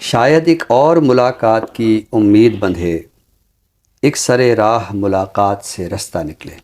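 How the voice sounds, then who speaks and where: A man speaking as a commentator on a literary show, in a deliberate, reflective way with emphatic phrasing. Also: fairly low pitch, darkish tone. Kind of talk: reflective commentary and Urdu poetry recitation.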